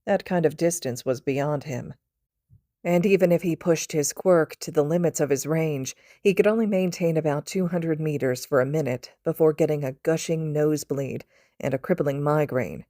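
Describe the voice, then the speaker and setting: Female podfic narrator, low pitch, scripted storytelling, very dry close sound. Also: normal speed, mellow, no echo. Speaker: podfic reader.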